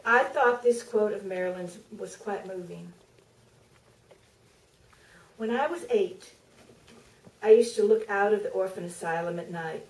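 A middle-aged woman author giving a measured, deliberate staged reading from a podium, with pauses for effect. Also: mid-range voice, recorded from a distance. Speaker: author reading from her book. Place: theater stage, filmed from the audience. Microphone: podium microphone heard through distant camera. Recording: roomy theater, picked up from afar.

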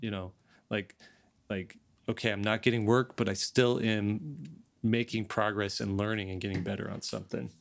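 A middle-aged man hosting a casual podcast, thinking aloud in a halting way, close to a desk microphone. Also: lowish voice, fairly even intonation, gravelly. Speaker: podcast host. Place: home studio, on a video call. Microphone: large desk microphone, close.

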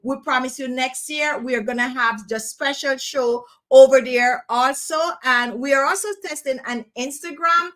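A middle-aged woman with a Jamaican accent hosting a casual beauty live stream from home, chatty and animated, speaking to a webcam. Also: high-pitched, moderate pace, few pauses. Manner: chatty, animated, talks with her hands. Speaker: beauty channel host. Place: home room, indoors.

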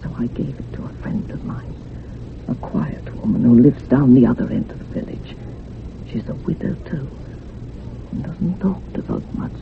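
An actress playing an older, sorrowful village housekeeper in a radio drama, confiding in rustic, old-fashioned turns of phrase. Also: female voice, very deep voice, expressive, moderate pace, vocal fry, heavily acted. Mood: anguished, confiding. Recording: muffled phone-like radio recording with hum.

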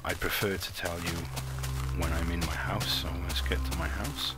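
Deep-voiced man with a light Welsh accent. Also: fairly even intonation, normal speed, over loud music. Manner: rambling and self-mocking, with playful put-on crooning. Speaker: gaming channel host. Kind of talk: casual gameplay commentary.

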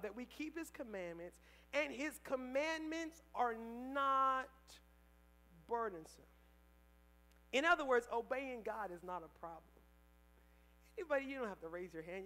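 A young man preaching a sermon on a church stage through a headset mic, swinging between loud emphatic lines and quiet asides. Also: very high-pitched. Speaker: pastor. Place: church stage, large indoor hall.

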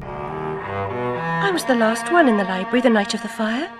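Game heroine: young, English, earnest and tart, animated delivery over music. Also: female voice, on the high side, unhurried. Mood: haunted. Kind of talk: video game character acting.

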